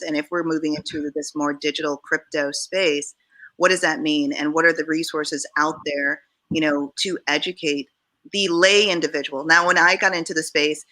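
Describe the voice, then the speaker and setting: Low bright-voiced Jamaican-born woman. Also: rough recording. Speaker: panel speaker. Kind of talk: panel discussion.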